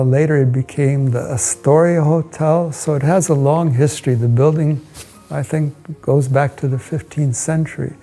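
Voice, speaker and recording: A man with an American accent speaks as a hotel owner in an interview, recalling his experiences in a measured, halting way with short phrases. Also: on the high side, vocal fry, warm tone. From United States. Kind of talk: promotional interview, personal recollection. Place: indoors, hotel suite.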